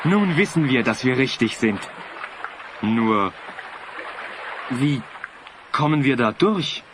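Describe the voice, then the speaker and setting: An actor playing a simple, slow-talking travel companion in a German children's audio drama. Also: male voice, mid-range voice, expressive, noisy background. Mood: puzzled.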